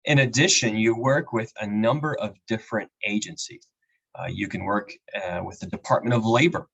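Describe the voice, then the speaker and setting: Low-voiced man explaining at a webinar. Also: gravelly, fairly bright tone, breathy edge, dull audio. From Peru. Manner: measured, explanatory, frequent fillers. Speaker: immigration attorney, guest speaker. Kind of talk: webinar presentation. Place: home or office with a map on the wall.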